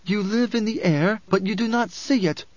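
A man's voice: high voice, measured pace, dry recording, muffled recording, voice pushed up. A man voicing a fierce young priestess and oracle in an audiobook, with a declamatory, incantatory and cryptic manner. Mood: sharp, angry.

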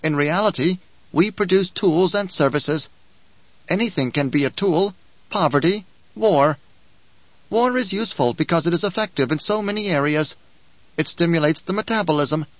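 A man's voice: on the high side, expressive, moderate pace, dry recording, muffled recording, pitched above the performer's own voice, natural voice. Bijaz, a man doing a whining, spiteful dwarf. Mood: taunting. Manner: whining, giggling, taunting. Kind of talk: audiobook character reading.

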